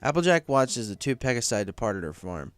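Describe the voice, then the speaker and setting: A man's voice: mid-range voice, big pitch swings, fairly quick. A man reading a story aloud as scripted narration. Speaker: story reader.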